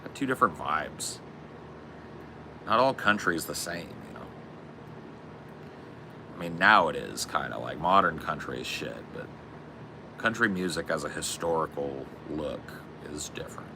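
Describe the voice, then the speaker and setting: A man with a deep voice, co-hosting a podcast in a loose, profane, rambling chat. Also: lively intonation, a little slow, pauses often, noisy background. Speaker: podcast co-host.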